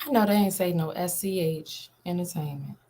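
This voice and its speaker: Low, creaky-voiced woman chatting. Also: normal speed, mellow. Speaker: interview guest, playwright. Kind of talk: casual chat.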